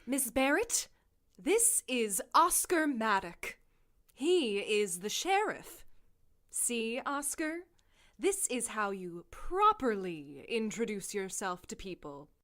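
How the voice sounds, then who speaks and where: A woman's voice: a little high, sing-song, slow, fairly bright tone, exaggerated voice. A woman playing an imperious mayor in an audio drama, fussy about manners, precise and lecturing. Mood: formal, condescending.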